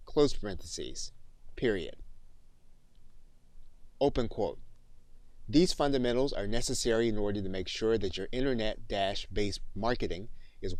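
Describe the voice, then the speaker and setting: A man narrating an instructional software tutorial with methodical, deliberate phrasing. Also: lowish voice, no room sound. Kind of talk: tutorial narration with spoken dictation demonstration.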